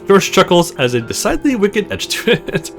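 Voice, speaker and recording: A man's voice: high-pitched, normal speed, soft background music. A man narrating a visual novel playthrough expressively, reading aloud with casual asides. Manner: expressive, acts out the dialogue. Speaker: let's player.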